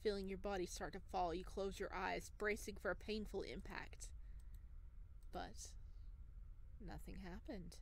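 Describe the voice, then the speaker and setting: A woman hosting a gaming video, casually commenting and reading narration aloud in an expressive, gushing manner. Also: medium pitch, measured pace, long pauses, a little hiss or hum.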